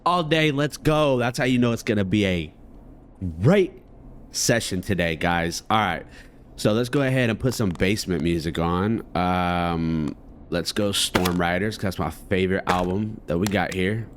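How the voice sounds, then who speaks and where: Low-voiced man doing casual game commentary. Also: normal speed, slight background noise. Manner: slangy, rambling, addressing viewers. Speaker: gaming video host. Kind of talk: casual let's play commentary.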